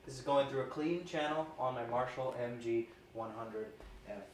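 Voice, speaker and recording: A man's voice: medium pitch, normal speed. A man hosting a gear review video, explaining settings in an informal, hesitant, casual way. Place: indoor room with guitar amp. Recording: roomy, distant mic with faint noise.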